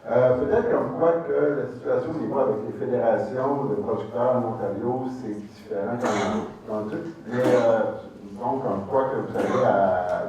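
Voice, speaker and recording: A man's voice: mid-range voice, background noise. A man in the audience asking a long, hesitant question full of fillers. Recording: echoey hall, distant, dull sound.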